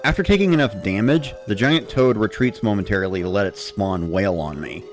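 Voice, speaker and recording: A man's voice: on the low side, expressive, a little fast, soft background music. A man reading a first-person story as a dramatic narrator, in the style of a gamer recounting play.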